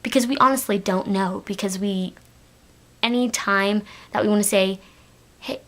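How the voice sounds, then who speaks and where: Teen girl, light Texan accent, mid-pitched voice, chatty, rambling, lots of ums. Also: normal speed. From Texas, United States. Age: teens. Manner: chatty, rambling, many fillers and restarts. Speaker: vlogger. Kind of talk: casual talk to camera.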